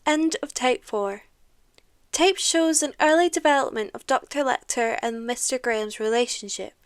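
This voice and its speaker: A young woman reading formal, deliberate scripted narration for an audio drama, like an official report. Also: high voice, clear, resonant tone.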